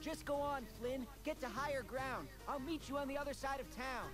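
A man voicing a small, nervous, bookish creature, an anxious and excitable assistant in a cartoonish fantasy game. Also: very high voice, moderate pace. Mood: alarmed. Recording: game audio with loud music.

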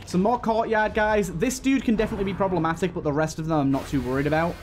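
A man's voice: high-pitched, fast talker, a little hiss or hum. A man giving casual gameplay commentary, thinking aloud and talking to the game's characters. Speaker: gaming video host.

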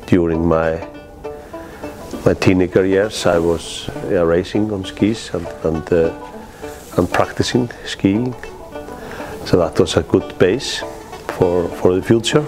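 A middle-aged man from Iceland's East Fjords with an Icelandic accent, reminiscing in halting, plain, non-native English in a documentary interview. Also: deep voice, low-quality recording. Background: guitar music.

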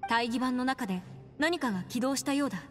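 Woman as high-voiced, earnest young adviser. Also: lightly acted. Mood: thoughtful, explaining. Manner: articulate, deliberate explaining. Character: earnest young female legal adviser, clever and resolute. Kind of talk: Japanese video game voice acting. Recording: long reverb, music behind.

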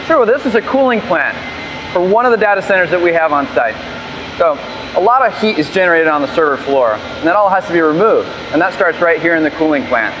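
A man working as a facilities technician, explaining equipment in a relaxed, plain-spoken way. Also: fairly high pitch, fast, noisy background.

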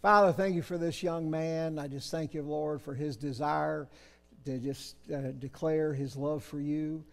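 Middle-aged male pastor, gravelly and earnest, baptism officiant in a reverberant church. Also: on the high side, fairly even intonation, unhurried. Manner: earnest, gentle prayerful delivery. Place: church baptistry, large sanctuary.

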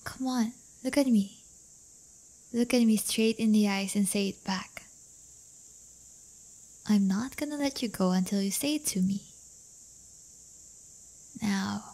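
Woman acting a slow-talking, teasing delinquent girlfriend. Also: on the high side, creaky, warm tone, exaggerated voice, low-quality recording. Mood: tender teasing. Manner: teasing, coaxing, drawn-out delivery. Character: teasing, playful teenage delinquent girlfriend, crafty but affectionate. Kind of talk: intimate roleplay audio acting.

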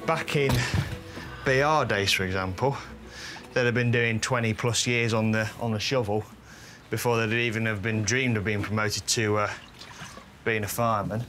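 A man on a heritage railway's staff, speaking enthusiastically in an interview but pausing often. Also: fairly low pitch, steady tone, a bit bright, soft background music. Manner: enthusiastic, hesitant with fillers. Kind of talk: casual interview.

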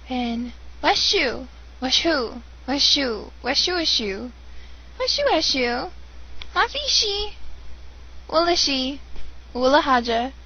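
A woman's voice: sits high, creaky. A young American woman rambling on a webcam, bored and giggly, with many ums. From United States. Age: young adult. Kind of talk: casual webcam vlog. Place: dim room indoors. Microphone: webcam built-in mic. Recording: dull lo-fi webcam audio with faint hiss.

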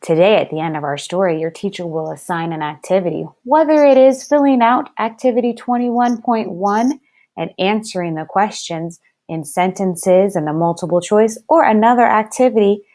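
A woman teacher reading a lesson aloud to young children in a deliberate, instructive way, enunciating each word. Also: medium pitch, mellow. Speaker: first-grade teacher.

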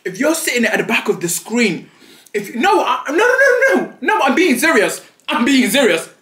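Dramatic high-voiced young man, eating on camera. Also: normal speed, reverberant. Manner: dramatic, playful, animated, eating while talking. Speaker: vlogger. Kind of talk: mukbang vlog chat. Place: small indoor studio set at a table.

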